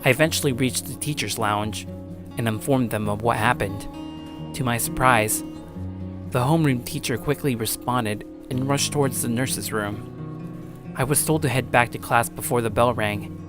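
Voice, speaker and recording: A man acting as a blunt high school boy, narrating his own story and speaking dialogue in an earnest, tough way. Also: normal speed, music in the background, lightly acted. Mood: serious, determined. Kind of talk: first-person dramatic narration and dialogue.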